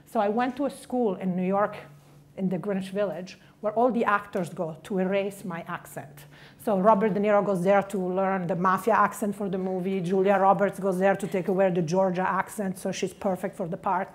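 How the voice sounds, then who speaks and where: A woman's voice: medium pitch, steady tone, moderate pace. Italian-accented woman giving a career talk. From Italy. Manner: direct, punchy, stressing key words. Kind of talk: motivational talk.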